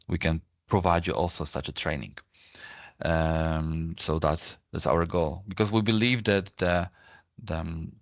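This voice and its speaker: Low, monotone man presenting a webinar. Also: no room sound, muffled recording. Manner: halting, frequently repeating and rephrasing words. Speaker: software company presenter.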